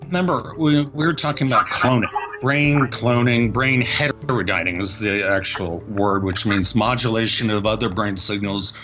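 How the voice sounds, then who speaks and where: Low-voiced man explaining earnestly. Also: moderate pace, music in the background, poor audio.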